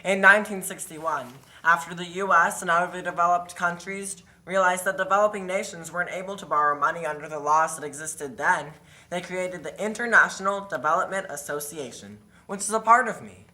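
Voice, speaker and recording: A man's voice: high-pitched, fairly quick, bright, forceful tone, rough recording. A man voicing a self-important personified bank that explains itself in a scripted puppet show.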